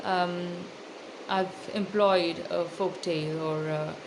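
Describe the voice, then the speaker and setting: A woman with an Indian accent giving thoughtful, articulate interview answers as an author, in a measured way with hesitant pauses. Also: fairly low pitch, steady tone. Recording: loud background noise.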